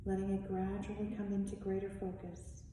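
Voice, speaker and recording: A woman leading a guided meditation as a teacher, speaking in measured, instructive short phrases with long pauses. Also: medium pitch, completely flat delivery, mellow. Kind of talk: slow guided meditation narration. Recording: echoey reverberant room, distant mic, faint noise.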